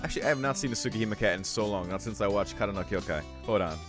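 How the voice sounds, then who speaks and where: Male streamer, low register, chatty reactions and read-aloud game text, music behind. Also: racing. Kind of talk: casual commentary while reading visual novel text aloud.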